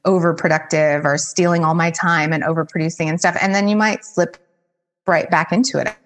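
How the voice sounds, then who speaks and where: Casual woman, slightly low voice. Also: steady tone, fairly quick. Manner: informal, heavy use of "like". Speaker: life coach, podcast guest. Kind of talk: casual conversational advice.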